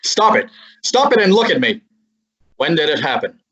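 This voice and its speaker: A young man voicing a wisecracking male private eye who narrates a radio play, with a brisk, wry manner. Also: fairly high pitch, sing-song, a bit bright, breathy edge, exaggerated voice. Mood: urgent. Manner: brisk, wry, hard-boiled. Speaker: high school drama student. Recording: muffled lo-fi recording.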